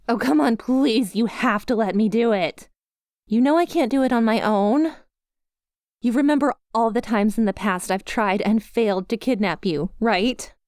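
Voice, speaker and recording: A woman's voice: fairly high pitch, a little mellow, no room sound, clean studio sound. A woman playing a bubbly, inept young yandere schoolgirl in an ASMR roleplay, chatty, melodramatic and wheedling as she talks to her crush.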